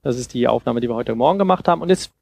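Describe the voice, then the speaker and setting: A man in his thirties giving an informal demonstration talk into a headset mic, explaining haltingly and thinking aloud. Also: medium pitch, lively intonation, a little mellow. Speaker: conference speaker on sound design. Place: seated on a sofa in a festival room. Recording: clear background noise and ambience.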